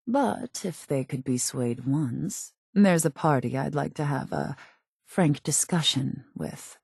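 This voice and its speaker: An actress voicing a cold, calculating raider gang leader in a video game, composed and formal, with measured, quietly menacing delivery. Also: female voice, deep voice, gravelly, no echo, clean studio sound, full character voice. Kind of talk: video game character voice acting.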